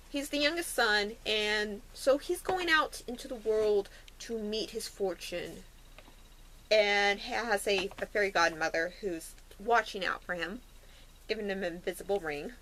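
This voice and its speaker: Animated, chatty woman telling stories. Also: medium pitch, low-quality recording. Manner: chatty, animated retelling with playful asides and slangy phrasing. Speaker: vlogger recounting favourite fairy tales. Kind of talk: casual unscripted chat to camera.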